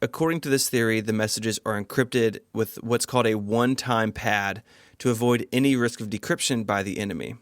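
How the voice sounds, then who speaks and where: A man's voice: on the low side, fairly even intonation, fairly quick, no echo, clean studio sound. A man co-hosting a podcast, reading scripted explanations mixed with casual banter. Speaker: podcast co-host.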